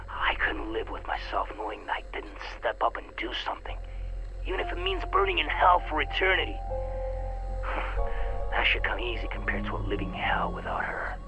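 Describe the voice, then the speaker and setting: Grim, creaky-voiced, devoted father. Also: fairly low pitch, sing-song, low-quality recording. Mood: anguished, pleading. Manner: hushed, solemn, deliberate. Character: grim, doomed soldier and devoted father confessing. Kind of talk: video game voice message monologue. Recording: loud music under the voice.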